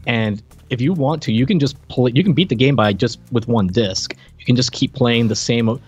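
A middle-aged man hosting a podcast, chatting informally and jokingly about retro games in a halting way. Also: medium pitch, slightly rough, highs cut off. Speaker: podcast host. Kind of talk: casual retro game review chat.

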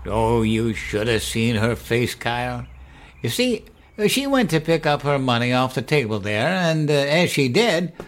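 An actor playing a folksy older grandfather in an audio drama, genial and halting, with something sinister underneath. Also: medium pitch, sing-song. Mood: chuckling, evasive.

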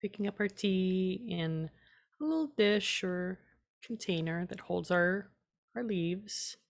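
A woman leading a guided meditation as a teacher, speaking in a calm, unhurried way with gentle instruction and long pauses. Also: on the low side, vocal fry.

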